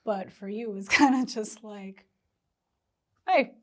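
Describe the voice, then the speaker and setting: A woman with a mid-range voice talks casually as a podcast co-host. Her voice is a little worn, and she thinks aloud, pausing to rephrase. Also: mid-range voice, a bit bright. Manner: reflective, thinks aloud with pauses. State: losing her voice. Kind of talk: casual chat.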